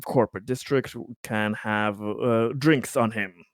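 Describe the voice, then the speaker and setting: A man playing a tabletop roleplaying game, casually narrating a character's plans in halting, hesitant phrases with long pauses. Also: fairly low pitch, steady tone, clear, resonant tone, no echo, studio quality.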